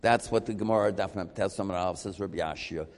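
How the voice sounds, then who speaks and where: A man teaching a Talmud class, lively and questioning as he works through the argument. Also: deep voice, quick pace, long reverb tail. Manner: animated, questioning, mixing Hebrew terms.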